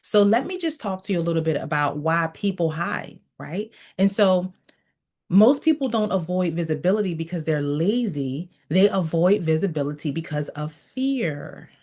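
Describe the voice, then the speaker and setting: A woman's voice: fairly low pitch, animated, normal speed, darkish tone. A woman coaching in a motivational teaching session, preaching with repeated phrases and telling listeners to write key lines down. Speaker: mindset coach.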